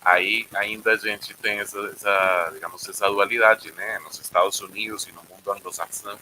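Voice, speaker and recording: A man moderates an academic discussion in a low voice with a very sharp, forceful edge. He speaks haltingly and reflectively as he gathers ideas together. Faint noise sits behind him. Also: fairly even intonation, rough recording. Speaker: panel moderator.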